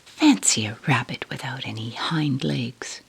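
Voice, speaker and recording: A woman's voice: rumbling low, unhurried, creaky, slightly rough, heavily acted. A woman voicing a nosy, mocking male wild rabbit from a storybook in a blunt, teasing whisper. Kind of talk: storybook character in ASMR reading.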